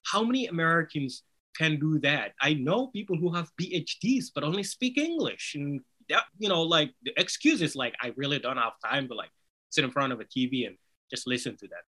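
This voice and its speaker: A man's voice: fairly high pitch, lively intonation, brisk, pushed and bright. Earnest man. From Somalia. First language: Somali. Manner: earnest, persuasive, rhetorical "right?" tags. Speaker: author and interview guest. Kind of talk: conversational interview answer.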